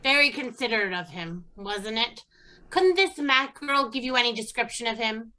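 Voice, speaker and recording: A woman's voice: fairly high pitch, moderate pace. A woman playing a stern, exasperated police chief with a commanding manner in an old-time radio crime drama. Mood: probing, dry. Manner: forceful, commanding, indignant.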